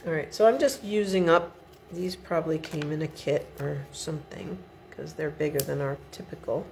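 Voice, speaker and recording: A woman's voice: low voice, normal speed, creaky, a little mellow, slight background noise. A middle-aged woman giving a chatty step-by-step card-making tutorial at her home craft desk, sniffly from a cold. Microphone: overhead camera above the desk.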